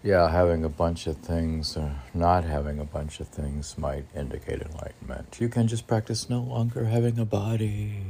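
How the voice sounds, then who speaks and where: A man reading his own poems informally, meandering and halting, with musing asides and rhetorical questions. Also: low voice, creaky, dark tone, a little hiss or hum. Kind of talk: informal poetry reading with spontaneous commentary.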